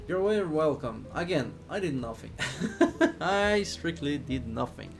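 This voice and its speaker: Slow-talking man with a Swiss accent. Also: on the high side, very animated, vocal fry, soft background music. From Switzerland. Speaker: flight simulator streamer. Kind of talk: casual live stream chat while playing.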